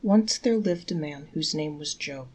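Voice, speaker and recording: Woman, storyteller, folktale read-aloud, fairly low pitch, scripted narration. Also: measured pace, darkish tone, a little hiss or hum.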